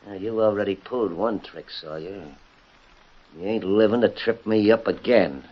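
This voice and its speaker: An actor playing a vengeful Old West outlaw in an old-time radio western, gruff and threatening with American frontier slang. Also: male voice, fairly low pitch, normal speed, lightly acted. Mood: cold threat. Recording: dull, lo-fi vintage radio recording.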